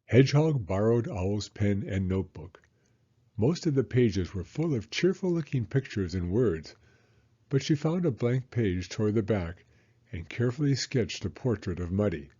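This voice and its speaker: Mellow, expressive male storybook reader. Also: fairly low pitch, moderate pace, breathy edge, lo-fi sound.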